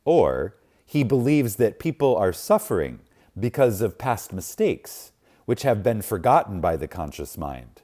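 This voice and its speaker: A man reading a spiritual text aloud in a reverent, dramatic way, solemn and deliberate, with long pauses and strong emphasis. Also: fairly low pitch, big pitch swings, dark tone. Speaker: audiobook reader.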